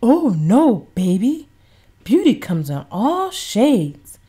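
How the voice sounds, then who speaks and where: Woman acting dad in storybook, patient, comforting, emphatic, lively varied pitch. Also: slow, husky, exaggerated voice. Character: warm, reassuring father explaining patiently to his child. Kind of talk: children's storybook read-aloud.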